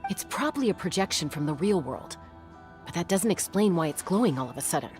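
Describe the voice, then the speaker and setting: A woman's voice: on the low side, lively intonation, fast, darkish tone, soft background music, lightly acted. A woman voicing an analytical, composed female companion in a video game. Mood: pondering.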